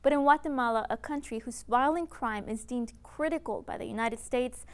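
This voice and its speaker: High-voiced woman TV news reporter. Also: moderate pace. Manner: crisp broadcast delivery.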